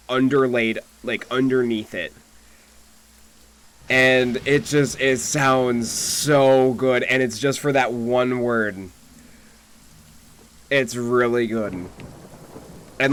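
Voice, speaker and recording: A young man chatting casually on a game livestream, thinking aloud in a halting way. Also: mid-range voice, fairly bright tone. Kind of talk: casual stream chat. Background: game music.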